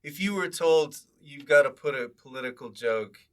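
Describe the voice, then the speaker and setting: A man's voice: medium pitch, moderate pace, a bit bright. A man hosting a casual comedy podcast, chatting in a jokey, riffing manner. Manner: jokey, riffing, mock announcements. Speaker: podcast host. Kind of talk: casual comic chat.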